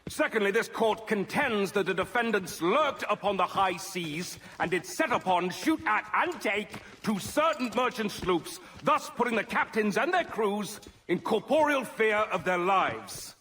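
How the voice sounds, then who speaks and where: A male actor playing a pompous colonial court official in a game, reading out charges in a measured, declamatory manner. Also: high voice, expressive, pushed and bright, full character voice. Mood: stern, declaiming. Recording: clear background noise.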